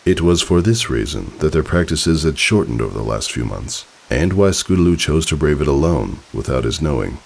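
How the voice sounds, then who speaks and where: A man reading a story aloud as narrator, in an expressive, theatrical, dramatic style. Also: rumbling low, normal speed, vocal fry, few pauses, slightly rough. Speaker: fan fiction narrator.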